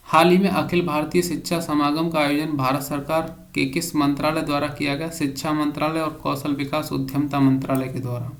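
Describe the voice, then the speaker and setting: A man teaches an exam class by reading out questions and answers. His voice sits a little high for a man. The delivery stays very even, with little melodic movement.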